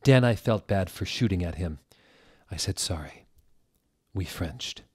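Slow, low-voiced young man reading theatrically. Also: husky. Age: young adult. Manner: deadpan, reads misspellings literally with theatrical emphasis. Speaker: reader, show host. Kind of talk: mock-dramatic reading of bad fan fiction. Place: indoor room at a table. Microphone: desk microphone on a stand, close.

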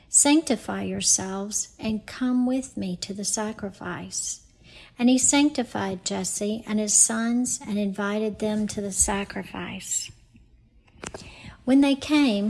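A woman reading scripture aloud in a solemn, measured and deliberate way. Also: medium pitch, gravelly, a little mellow, poor audio. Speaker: scripture reader.

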